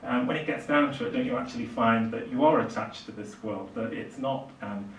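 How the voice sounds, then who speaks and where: An older man delivers a lecture in a halting, thoughtful manner, often pausing to find the next phrase. He is recorded at a distance in an echoing room, with faint noise in the background. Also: medium pitch. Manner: hesitant, halting delivery with reflective pauses. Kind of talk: public lecture on literature and music. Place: small indoor lecture room, at a lectern. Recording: roomy, distant microphone, faint hiss.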